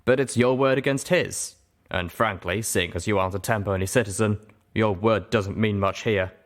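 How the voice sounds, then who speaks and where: Man as male pony guard, stern, skeptical, deliberate, official tone, audiobook character. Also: on the low side, natural voice.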